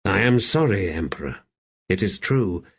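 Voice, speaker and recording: A man voicing a shrewd slave in an audiobook, calm, deferential and measured, speaking quietly. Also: low voice, vocal fry, slightly rough, full character voice. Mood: careful, deferential. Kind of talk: audiobook character acting.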